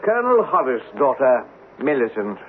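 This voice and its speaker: A man's voice: mid-range voice, big pitch swings, normal speed, close to an everyday voice. Villain for radio melodrama male actor: wizened officer, oily, bullying, wildly varied intonation, tinny vintage broadcast. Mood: smug. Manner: oily, theatrical, insinuating. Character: wizened, creepy, domineering British army captain. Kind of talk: old-time radio melodrama acting. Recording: tinny band-limited old radio broadcast, hiss.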